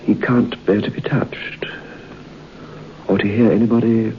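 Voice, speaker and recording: A low-pitched man tells a grim story in a grave, measured way. It sounds like a vintage radio drama, with a muffled, lo-fi tone and faint hiss. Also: little pitch movement. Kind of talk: 1950s radio drama acting. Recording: dull old radio broadcast with hiss.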